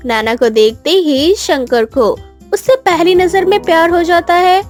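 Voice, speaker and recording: A woman's voice: very high-pitched, measured pace. A woman narrating a scripted moral story as the storyteller. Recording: faint music behind the voice.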